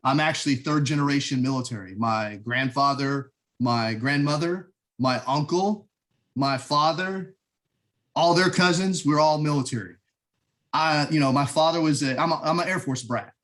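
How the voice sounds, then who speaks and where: A man's voice: a little high, moderate pace, a bit bright. A middle-aged American man, a military veteran, talking about his upbringing in a casual interview, earnest and rambling with many restarts. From United States. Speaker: podcast guest, veteran. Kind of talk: casual conversation.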